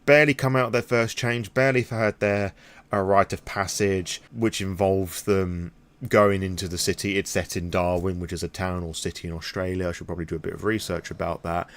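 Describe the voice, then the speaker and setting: A man's voice: deep voice, fairly even intonation, fairly quick, fairly bright tone. A British man talking casually and candidly in an impromptu vlog, rambling with self-deprecating asides and some swearing. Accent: British English. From England. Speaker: YouTube vlogger on tabletop roleplaying games.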